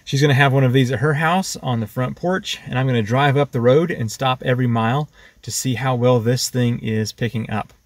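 A man's voice: mid-range voice, brisk. An American man in his thirties with a mid-range voice talks through a product review. He is casual and practical, explaining things as he tries them out.

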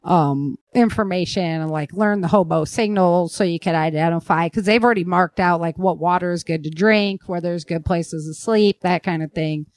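A woman chatting casually as a podcast host, animated and punctuating her points with punchy sound-effect words. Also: lowish voice, moderate pace, hardly any pauses, dry recording. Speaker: podcast host and fiction writer. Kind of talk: casual survivalist chat.

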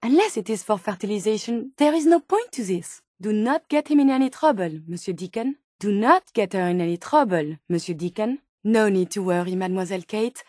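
A woman's voice: medium pitch, expressive, brisk, few pauses, dark tone, no echo, studio quality, natural voice. A woman with a French accent voicing a polite, earnest female robot scientist in a video game, speaking formally and precisely. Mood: earnest, curious. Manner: formal, precise, courteous phrasing without contractions. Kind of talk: video game character dialogue.

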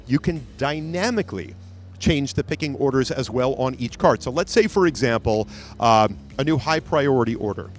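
A man in his forties giving an upbeat live product demo on a handheld mic at a trade show booth in a large convention hall. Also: mid-range voice. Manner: upbeat, salesy, pointing things out.

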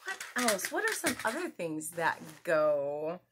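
A woman's voice: lowish voice, wildly swooping pitch, takes it slowly, background noise. A woman in her thirties presenting a children's story time, talking straight to young viewers with exaggerated, encouraging questions. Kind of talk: children's lesson, addressing young viewers directly. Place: small indoor room at home.

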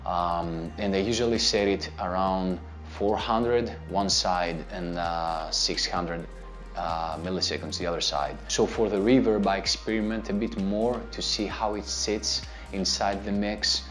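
A man in his thirties with a Greek accent, answering viewer questions about guitar in a relaxed, step-by-step way with plenty of fillers. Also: low-pitched, measured pace. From Greece. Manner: gestures with his hands while talking. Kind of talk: casual instructional talk to camera. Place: indoor studio with a plain grey backdrop. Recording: soft background music under the voice.

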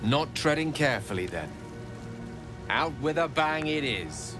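An actor playing a measured, wry, self-assured mage in a fantasy game, dry and understated. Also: male voice, fairly high pitch, sing-song, over music. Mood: dry warning. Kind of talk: fantasy video game acting.

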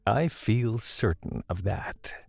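Man acting a low-voiced, composed leader. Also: lively intonation, slow pace, creaky, slightly rough, no echo, clean studio sound, faint music behind, heavily acted. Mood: calm, assured. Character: composed, confident male leader of adventurers. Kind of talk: fantasy audio drama acting.

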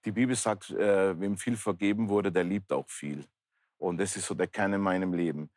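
A man's voice: low voice, steady tone, normal speed, a little mellow, no echo, studio quality. A man in his fifties, speaking earnestly and plainly as he gives personal testimony in an interview. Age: 50s. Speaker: talk show guest, pastor. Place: large indoor bar hall, seated interview.

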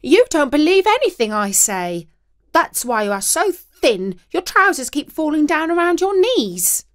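A woman voicing a starving, distressed housewife shouting in a high-pitched voice in a storybook reading. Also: lively intonation, slow, exaggerated voice.

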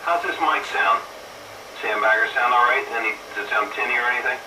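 A man's voice: medium pitch, a little fast. A man chatting and calling out as a radio operator, in a distant, echoey and very noisy recording. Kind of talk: casual radio operator chat and call-outs.

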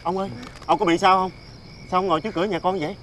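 A man's voice: sits high, steady tone, very fast, a bit bright, slight background noise. A man playing a young, poor, kindly peasant husband in a televised fairy tale, with a Southern Vietnamese accent and an earnest manner. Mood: concerned, kind.

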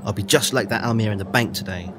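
A man's voice: fairly low pitch, fast, background noise, natural voice. An actor playing an idealistic, indignant teenage boy in a comic drama.

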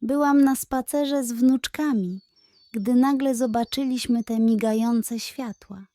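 A woman's voice: a little high, measured pace, warm tone, no echo, natural voice. A woman voicing a worried squirrel grandmother in a children's story, telling her tale in an uneasy, wondering way.

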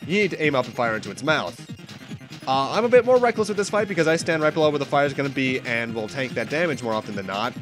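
A young man with a stuffy nose talks through a game as he plays, chatty and casual. His voice sits a little high and swings widely in pitch. Loud game music plays behind him. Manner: chatty, self-correcting, occasional laughs. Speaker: let's play host. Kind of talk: casual gameplay commentary.

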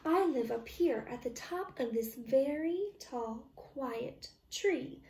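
A woman's voice: high-pitched, lively intonation, a little mellow, full character voice. A woman voicing a hooting owl from a children's storybook, drawing out its words. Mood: curious, hooting. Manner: hooting, drawn-out questions. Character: hooting owl living up a tall tree. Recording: roomy, echoey, distant microphone.

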